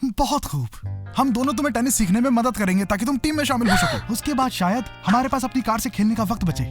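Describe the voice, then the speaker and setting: An easygoing schoolboy voiced by a woman from a cartoon dub, chatty and frank. The voice is fairly high, with clear background music under it. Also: expressive, fast, lightly acted. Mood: helpful, frank. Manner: frank, casual. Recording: music behind the voice.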